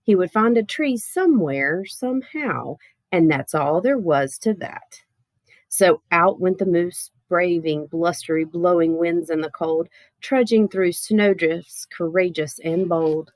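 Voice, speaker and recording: A woman reading a children's picture book aloud in a playful, expressive way with theatrical emphasis. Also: lowish voice, unhurried, no echo. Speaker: storybook reader.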